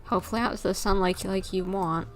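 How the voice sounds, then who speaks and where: A woman's voice: medium pitch, steady tone, fast, music in the background. A woman livestreaming a game, giving casual commentary and thinking aloud informally as she plays.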